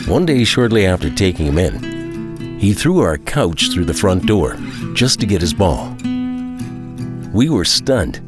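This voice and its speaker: A man's voice: deep voice, big pitch swings, measured pace, pauses often, over music. An actor playing a plainspoken, apprehensive small-town husband and father in an audio drama, with an earnest, wry, conversational delivery. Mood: wry storytelling. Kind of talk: first-person audio drama narration and dialogue.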